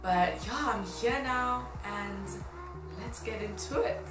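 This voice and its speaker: A woman's voice: on the high side, slow pace. A woman in her twenties from Tanzania chatting casually to camera in an upbeat, informal way. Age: 20s. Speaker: fashion blogger. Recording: roomy echo, music behind. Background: music.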